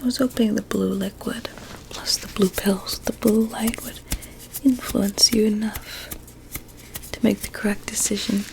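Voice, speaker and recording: A woman's voice: mid-range voice, fairly even intonation, a little slow. Whispering sinister woman doctor acted by a woman. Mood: smugly pleased. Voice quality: soft breathy whisper. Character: sinister, vain female blueberry doctor, softly menacing. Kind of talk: ASMR medical roleplay.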